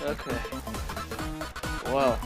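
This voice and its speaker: A woman's voice: very deep voice, fairly even intonation, very slow, creaky, over music. A woman hosting a casual reaction video, exclamatory and prone to swearing.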